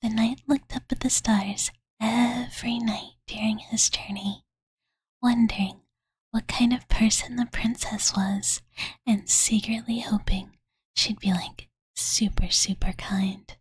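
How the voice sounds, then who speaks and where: Casting: woman playing sweet, slightly pouty dragon girl for ASMR bedtime roleplay; very breathy whisper, unhurried, close and dry. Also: on the high side, steady tone, no echo, full character voice. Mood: hushed storytelling. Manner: slow, gentle, valley-girl filler "like", refers to self in third person. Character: cute, childlike dragon girl, devoted and slightly pouty, telling bedtime stories. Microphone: close studio condenser microphone, dry.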